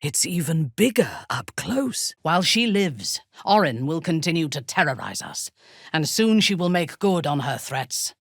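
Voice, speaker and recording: An actress voicing a ruthless, imperious dark elf warband commander in a video game, with a commanding, deliberate and menacing delivery. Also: female voice, low voice, lively intonation, breathy edge, dry recording, studio quality, heavily acted. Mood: grave, urgent. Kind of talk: dramatic video game voice acting.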